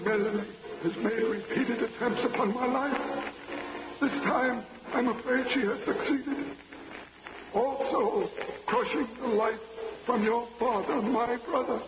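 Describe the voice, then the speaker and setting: A dying old husband's last words, grave and halting, in a higher-pitched voice. The sound is roomy and dull, with music behind it. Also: male voice. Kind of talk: 1940s radio acting.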